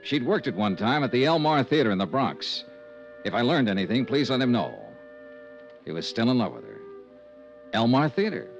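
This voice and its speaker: An actor playing a sardonic, probing insurance investigator in a 1950s radio detective drama, wry and clipped. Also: male voice, medium pitch, very animated, moderate pace, pauses often, soft background music. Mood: wry narrating. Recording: muffled old radio recording.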